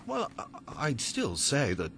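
An actor playing an earnest, idealistic young Victorian clerk in a radio drama. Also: male voice, mid-range voice, wildly swooping pitch, unhurried, fairly bright tone, a little hiss or hum, full character voice. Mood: cautious, earnest. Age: young adult.

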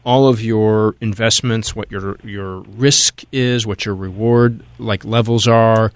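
A man co-hosting a call-in radio advice show, offering measured financial guidance. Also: on the low side, steady tone, clean tone, dry recording. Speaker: radio co-host, attorney.

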